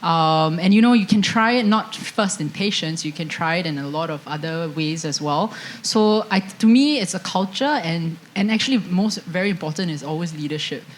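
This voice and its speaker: A woman's voice: on the low side, normal speed, few pauses, a little hiss or hum. A woman with a Singaporean accent speaking as a surgeon on a panel, hesitant and full of restarts, into a handheld mic at an indoor event. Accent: Singaporean English. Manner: hesitant, many fillers and restarts. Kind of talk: panel discussion answer. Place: indoor event stage with audience. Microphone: handheld microphone.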